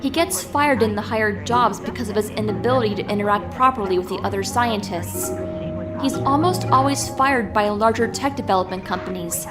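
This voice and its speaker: A woman playing a confident, teasing young hacker sidekick who explains things briskly in an audio drama. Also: medium pitch, music in the background, lightly acted. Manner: brisk, explanatory, self-assured. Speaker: voice actor.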